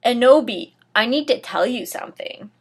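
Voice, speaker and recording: A woman voicing a melodramatic, indignant big man, a wizard groundskeeper, in a story reading, with loud, drawn-out declamation. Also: on the high side, expressive, husky. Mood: earnest, indignant.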